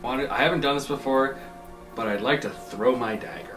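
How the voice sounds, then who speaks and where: A tabletop player with a medium-pitched voice casually describes what their character does, halting and amused. The sound is roomy and a bit off the mic, with prominent music underneath. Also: far from the mic. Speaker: tabletop role-playing podcast player. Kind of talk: casual in-game narration.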